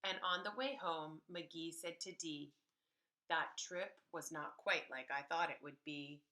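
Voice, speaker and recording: A woman reading a story aloud to young children like a preschool teacher, animated and emphatic, pausing to explain things. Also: sits low.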